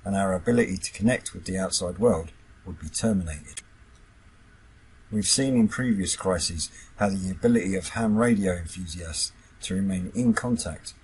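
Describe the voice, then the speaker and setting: A man with a husky voice, hosting and narrating a scripted explainer video. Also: low-pitched, poor audio. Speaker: YouTube host, CB radio hobbyist.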